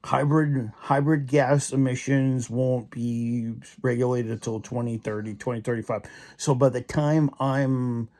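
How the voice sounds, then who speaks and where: A man's voice: medium pitch, fairly even intonation, measured pace, a little mellow. A young man in a casual opinion rant, rambling with many restarts and repeated fillers. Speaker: vlogger.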